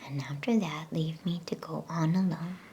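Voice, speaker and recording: A woman voicing a weary, sad, kindly male lion in a grave, hushed whisper for a storybook. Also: low voice, steady tone, moderate pace, slight background noise. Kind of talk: whispered ASMR storybook reading.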